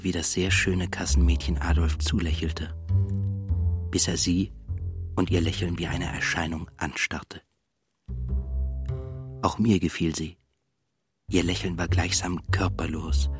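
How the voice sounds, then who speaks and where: An actor playing a reflective, melancholy composer who tells his story in a measured literary monologue. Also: low-pitched. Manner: measured, introspective. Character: reflective, melancholy male composer, first-person narrator. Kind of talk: literary radio drama monologue. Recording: clear music bed behind the voice.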